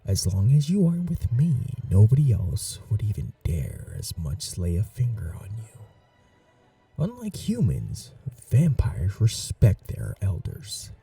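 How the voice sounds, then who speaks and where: A man's voice: fairly low pitch, wildly swooping pitch, creaky, husky, recorded from a distance, soft background music, full character voice. A man playing a suave ancient vampire lord. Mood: seductive. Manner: slow, seductive, softly whispering. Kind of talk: ASMR roleplay.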